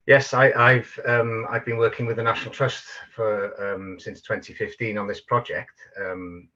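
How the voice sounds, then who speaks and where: A Welsh man talks over a video call, his low voice bright and forceful. He halts often with fillers and restarts. The audio is dull and lo-fi. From North Wales. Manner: hesitant, many fillers and restarts. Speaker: guest speaker, project manager. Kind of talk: online talk presentation.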